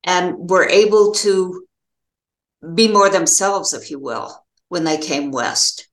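An older American woman giving reflective interview answers as a novelist, in a halting, thoughtful way with pauses mid-phrase. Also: lowish voice, animated, reverberant.

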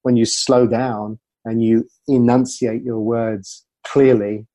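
A man's voice: medium pitch, little pitch movement, takes it slowly, mellow, no echo. A man speaking as a communication coach in an interview, explaining things through anecdotes. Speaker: communication coach and former broadcaster.

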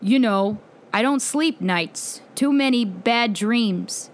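A woman's voice: a little high, slow. A woman voicing a haunted young war veteran in a storybook, casual, laconic and a little hesitant. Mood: weary, subdued.

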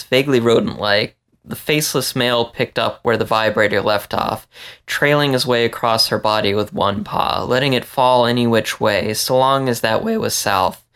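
A man with a creaky voice reading a story aloud as scripted narration. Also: medium pitch, a bit bright. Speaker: story reader.

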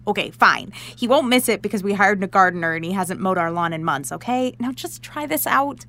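Animated, bossy teenage science girl. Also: mid-range voice, moderate pace. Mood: coaxing, impatient. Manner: sarcastic, exasperated, mock-formal. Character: bossy, excitable teenage girl amateur scientist, best friend. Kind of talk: naturalistic audio drama acting.

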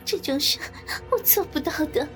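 A woman voicing a frightened, refined married woman who pleads tearfully and haltingly in an audiobook story. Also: high-pitched, animated, music in the background, voice pushed up. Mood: tearful pleading. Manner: tearful, halting, repeating words.

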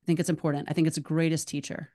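A woman with a low voice hosts a podcast in easy conversation, chatty and prone to rambling tangents. Her speech is loose and enthusiastic, full of asides. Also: level tone, very fast, dark tone. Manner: chatty, rambling, self-described tangent talker. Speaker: podcast host.

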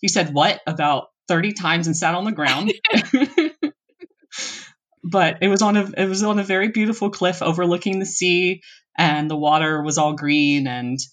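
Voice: mid-range voice, normal speed, fairly bright tone. A young adult from the US East Coast speaking in a casual interview, thoughtful and rambling, often breaking into laughter. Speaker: interview guest.

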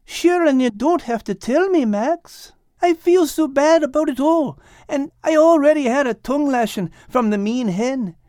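A man voicing a timid, soft-hearted greedy tomcat with a simple, plaintive manner in an audiobook. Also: very high-pitched, expressive, normal speed, few pauses, no room sound, studio quality, voice pushed up. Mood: sorry, smitten. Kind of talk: animated audiobook character reading.

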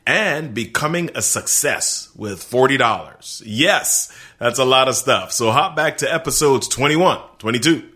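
A man's voice: mid-range voice, expressive, unhurried, hardly any pauses, sharp tone. A man hosting a podcast, upbeat and emphatic, speaking straight to his listeners. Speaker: podcast host.

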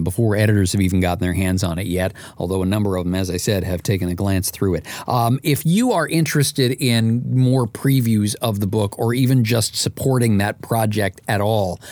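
A man with a polished, measured delivery, presenting as a podcast host and narrator. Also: lowish voice, a little mellow, dry recording. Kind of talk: audiobook-style narration and podcast hosting.

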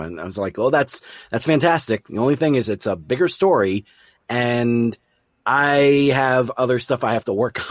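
Low-voiced man hosting a conversational interview. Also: no echo, studio quality. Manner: affirming, halting, repeats words as he thinks. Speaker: podcast host and interviewer.

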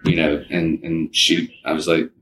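A man's voice: rumbling low, level tone, unhurried, fairly bright tone, low-quality recording. A man speaking as an interview guest, recounting experiences informally and restarting his sentences as he goes. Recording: background audio noise behind voice. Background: faint background audio.

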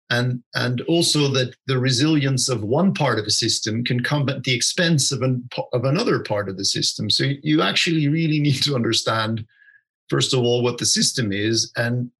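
Husky-voiced man explaining ideas, thoughtful. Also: medium pitch, a bit bright. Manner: thoughtful, restarts often, says "you know" and "right?". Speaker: interview guest. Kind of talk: conversational explanation.

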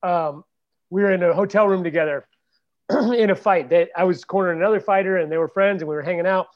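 A man's voice: high voice, quick pace, highs cut off. A man co-hosting a podcast, chatting casually and telling funny stories with mimicked voices. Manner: joking storyteller, mimics voices. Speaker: podcast co-host. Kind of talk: casual chat.

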